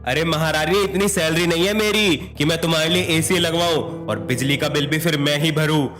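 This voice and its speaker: A man's voice: on the high side, moderate pace, music in the background, lightly acted. Man playing a reproachful, put-upon young husband. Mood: exasperated. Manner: exasperated, reproachful. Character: put-upon young husband of modest means, protesting, suspicious. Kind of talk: dramatised Hindi moral-story dubbing.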